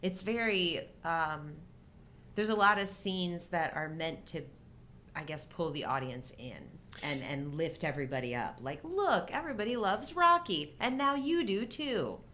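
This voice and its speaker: Middle-aged woman with a lowish voice. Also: expressive, unhurried, pushed and bright, a little hiss or hum. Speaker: co-host of a live show. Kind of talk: casual chat about films.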